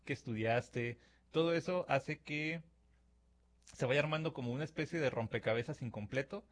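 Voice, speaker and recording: A man's voice: mid-range voice, normal speed. A man from Mexico with a Mexican accent, hosting a reflective radio-style talk at a studio mic and acting out imagined dialogues with fillers. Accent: Mexican Spanish. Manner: conversational, acts out imagined dialogues with filler words. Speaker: radio-style podcast host. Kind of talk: reflective monologue to listeners. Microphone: studio microphone.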